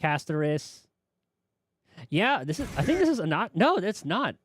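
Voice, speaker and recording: A man doing casual live reaction commentary as a game streamer, excitable and thinking out loud. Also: high voice, measured pace, faint music behind. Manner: excitable, musing aloud.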